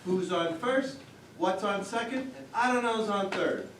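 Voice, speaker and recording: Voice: medium pitch, unhurried, natural voice. A performer playing a brash, wisecracking baseball manager with blunt, matter-of-fact comebacks in a stage comedy routine. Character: brash, wisecracking, unflappable small-town baseball team manager. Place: small-town theater stage. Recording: distant mic in echoey theater, faint noise.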